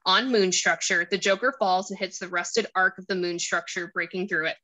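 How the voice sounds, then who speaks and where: A woman's voice: on the low side, fairly even intonation, normal speed, bright, forceful tone, poor audio. A woman narrating a screenplay, reading out stage directions and scene descriptions.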